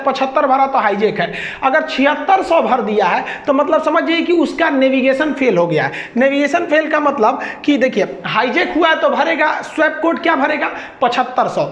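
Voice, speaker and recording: High-pitched woman teacher with Bihari accent, echoey. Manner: colloquial, explains with everyday examples and acted-out exchanges. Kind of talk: informal explanatory lecture. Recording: echoey room, slightly dull sound.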